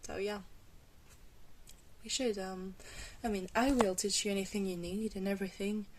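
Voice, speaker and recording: A woman playing a very old, protective vampire mentor in a soft-spoken ASMR roleplay, gentle and hesitant, with reassuring pauses. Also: medium pitch, fairly even intonation, noisy background, natural voice. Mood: nurturing, earnest. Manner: slow, hesitant, reassuring, with breathy pauses and small chuckles.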